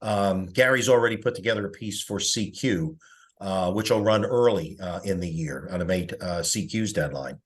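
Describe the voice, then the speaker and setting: A man's voice: low voice, normal speed. A man giving a rambling, conversational update as a coordinator on an online meeting call. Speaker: publicity coordinator reporting at a committee meeting. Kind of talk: informal spoken report on a teleconference.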